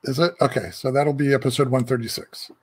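A man co-hosting a podcast with a raspy voice, trading dry deadpan quips in casual chat. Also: mid-range voice, brisk. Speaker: podcast co-host.

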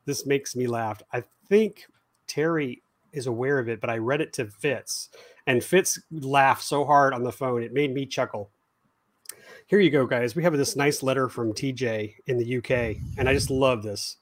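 A man's voice: medium pitch, moderate pace. A man co-hosting a live podcast who talks in casual chat, laughs often and reads listener letters aloud. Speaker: podcast co-host.

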